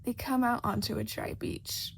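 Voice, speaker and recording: A woman's voice: on the high side, fairly even intonation, normal speed, vocal fry, a little hiss or hum. A woman reading scripted fiction as a story narrator. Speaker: podfic narrator.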